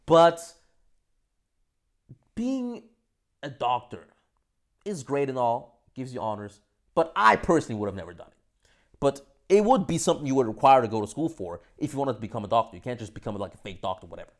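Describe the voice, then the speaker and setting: Young man, from Austria, lively swooping pitch, casual vlog, cursing, loud then quiet. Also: brisk, pauses often. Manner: animated, swears freely, jumps between loud and soft. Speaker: vlogger. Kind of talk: casual monologue to camera.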